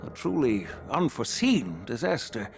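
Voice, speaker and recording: An elderly, wise general from a fantasy game. His delivery is grave and eloquent, with an animated melodic range and measured formality. Music plays behind him. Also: medium pitch, gravelly. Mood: relieved, formal. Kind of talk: formal scripted game dialogue.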